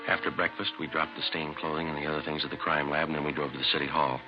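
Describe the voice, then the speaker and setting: A man's voice: a bass voice, flat delivery, very fast, dull audio, music in the background. A man playing a terse, methodical police detective in a clipped, matter-of-fact 1950s radio drama style on a vintage recording. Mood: deadpan, official. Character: terse, methodical male police detective narrating his case. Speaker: detective narrator.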